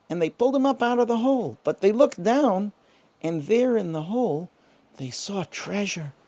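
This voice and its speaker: A woman's voice: lowish voice, warm tone, muffled recording. A woman telling a children's bedtime story in simple phrases, repeating words for emphasis.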